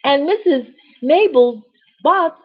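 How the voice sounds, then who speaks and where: A woman storyteller with a husky voice reads a children's bedtime story expressively, with chatty asides to young listeners. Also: sits high, expressive, slow. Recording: dull, lo-fi sound.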